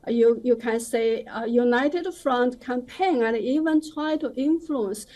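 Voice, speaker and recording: A woman giving opinionated commentary as a guest in a live news interview, with halting, hesitant phrasing. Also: fairly high pitch, mellow.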